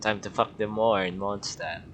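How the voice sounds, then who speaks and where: Dude joking around while playing, low but bright punchy voice, swears a lot. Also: male voice, fairly even intonation, normal speed, slight background noise. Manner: joking, crude, offhand remarks. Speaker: gamer commenting on a playthrough. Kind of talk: casual game commentary.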